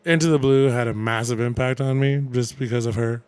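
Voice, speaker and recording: A man's voice: medium pitch, fairly even intonation, a little fast, darkish tone, clear, resonant tone, no echo. Easygoing man in his thirties, chatting. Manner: easygoing, punning, laughs often. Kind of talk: casual chat.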